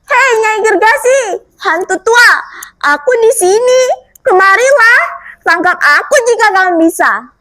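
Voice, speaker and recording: Woman acting a thumb-small folktale lad, very high voice, brave, defiant, cocky, insistent. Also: animated, measured pace, bright, forceful tone, full character voice. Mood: taunting. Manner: bold, insistent, taunting. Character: tiny pinky-sized young man, brave, cocky, defiant. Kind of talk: theatrical folktale storytelling.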